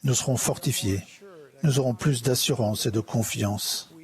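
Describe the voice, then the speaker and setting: A man interpreting a church conference sermon in a measured, solemn, earnest way. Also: mid-range voice, a little mellow. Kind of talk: formal sermon delivered in translation.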